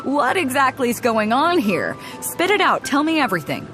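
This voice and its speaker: A woman's voice: high-pitched, very animated, moderate pace. A woman voicing a confident female ship captain who talks in a breezy, commanding way. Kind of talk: game voice acting. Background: game music.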